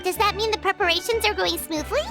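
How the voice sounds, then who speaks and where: A woman voicing a tiny, chirpy female floating companion who is curious and easily excited. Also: very high-pitched, fast talker. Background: game music.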